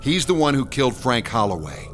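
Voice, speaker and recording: An actor in a radio drama playing a hard-driving, vengeful police detective with a terse, commanding delivery. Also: male voice, mid-range voice, big pitch swings, brisk, a bit bright, slight background noise. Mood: grim, forceful.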